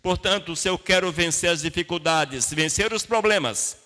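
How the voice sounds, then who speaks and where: A man's voice: sits high, normal speed, bright, forceful tone. A Brazilian man preaching a sermon to a congregation as a pastor, teaching in plain colloquial words with rhetorical questions and short emphatic phrases. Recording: long hall reverb.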